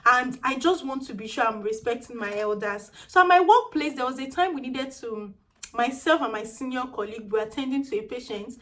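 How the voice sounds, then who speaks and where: A young Nigerian woman with a high, small voice that sits well above most women's. She talks in a lively, chatty way, telling stories to her viewers and dropping in pidgin phrases. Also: a little fast. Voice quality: small, tiny-sounding voice. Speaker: vlogger. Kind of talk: casual storytelling vlog.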